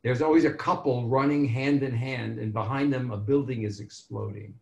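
An older man speaks from his home over a video call, frank and a little rambling, doubling back on his words. His voice sits at an ordinary pitch. The room around him gives it a roomy echo. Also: fairly even intonation, normal speed, gravelly, lo-fi sound. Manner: rambling, frank, with self-corrections and chuckles. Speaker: filmmaker panelist. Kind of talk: casual panel discussion. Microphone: laptop webcam mic on video call.

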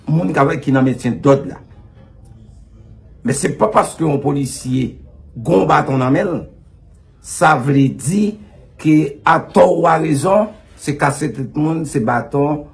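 A Haitian man in his fifties speaks as an official giving an interview over a video call. His voice is mid-pitched. He is deliberate and emphatic, repeating key points for weight. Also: vocal fry. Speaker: police official being interviewed. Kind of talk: interview answers mixing Haitian Creole and French.

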